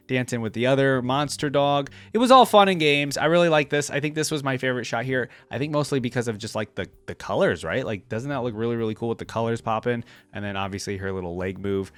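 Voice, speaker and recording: A man's voice: medium pitch, dry recording. A young man giving casual, chatty, amused reaction commentary. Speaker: reaction video host.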